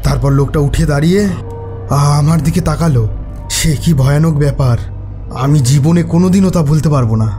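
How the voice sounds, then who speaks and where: A man's voice: on the high side, normal speed, mellow, soft background music, close to an everyday voice. A man playing an earnest, frightened renovation worker telling his story in a horror cartoon. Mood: uneasy, haunted.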